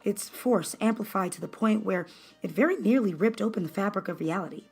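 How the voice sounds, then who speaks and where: A woman's voice: mid-range voice, fairly quick, slightly rough. A woman reading scripted fan fiction as a dramatic narrator. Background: background music.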